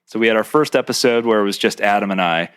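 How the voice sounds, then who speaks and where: A man's voice: lowish voice, fast talker, fairly bright tone, clear, resonant tone. A man co-hosting a podcast from someone's home, explaining things at length with many fillers and restarts. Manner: rambling, filler-heavy explaining. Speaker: podcast co-host. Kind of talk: casual podcast chat. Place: a private home.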